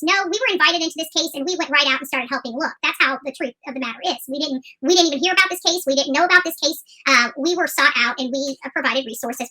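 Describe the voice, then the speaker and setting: A woman's voice: sits high, sharp tone, rough recording. A woman host delivering a casual commentary rant, indignant and emphatic, repeating phrases to drive points home. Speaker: YouTube channel host covering missing persons cases.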